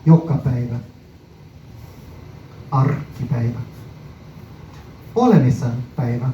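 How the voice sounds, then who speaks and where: A man reading his own poem at a live indoor performance, deliberately and in short phrases with frequent pauses. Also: medium pitch, animated, dark tone, roomy sound. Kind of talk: poetry recital, performance reading. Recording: muffled, noisy live recording from far off.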